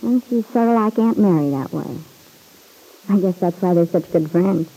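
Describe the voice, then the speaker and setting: A woman's voice: on the low side, animated, normal speed, gravelly, warm tone, slightly rough, full character voice, poor audio. A woman playing a chatty, plain-spoken young roommate in an old-time radio serial, with breezy, slangy, folksy talk. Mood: breezy, frank.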